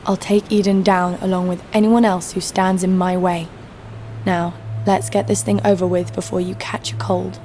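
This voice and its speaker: A woman's voice: fairly low pitch, flat delivery, normal speed, lightly acted. An actress playing a tough young woman fighter, narrating her own story in an audio drama with dry defiance. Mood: defiant. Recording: clear background noise and ambience.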